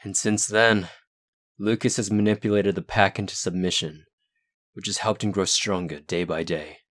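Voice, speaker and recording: A man as a low-voiced serious young werewolf. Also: steady tone, normal speed, fairly bright tone. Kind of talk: amateur roleplay voice acting.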